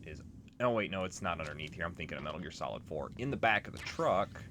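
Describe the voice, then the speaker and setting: A man's voice: sits low, lively intonation, fast talker. A man hosting a let's play, giving casual, explanatory commentary on a video game. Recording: clear noise behind commentary.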